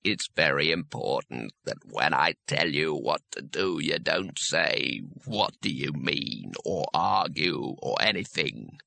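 A man voicing a nervous, cynical wizard who talks slowly and low in a grudging tone. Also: animated, creaky, pushed and bright, dry recording, full character voice. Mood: anxious. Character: nervous cynical wizard hanging over a drop.

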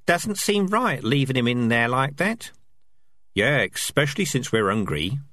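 A man's voice: very animated, unhurried, a bit bright. A man voicing a squad of small, nervous, bickering male rats in an audiobook reading. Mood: fretful. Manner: bickering, nervous, high-pitched chatter. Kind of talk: audiobook character voices.

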